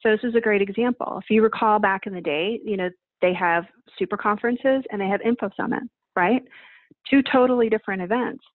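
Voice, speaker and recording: A woman's voice: medium pitch, a little fast, dry recording. A woman in her forties, a guest on a video call interview, explaining event planning with emphatic examples and rhetorical questions. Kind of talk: conversational interview answers. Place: home office, indoors. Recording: dry, tinny phone-like video call audio.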